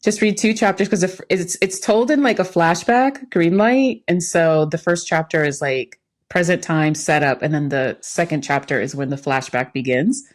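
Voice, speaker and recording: Candid woman with a lowish voice. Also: normal speed, few pauses. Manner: candid, reflective. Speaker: podcast co-host. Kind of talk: casual conversational podcast chat.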